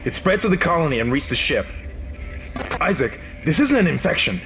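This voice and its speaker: An actor playing an urgent, commanding military officer on a doomed spaceship in a video game, giving clipped briefings. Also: male voice, on the high side, sing-song, a little fast, fairly bright tone, slight background noise, rough recording. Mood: grave.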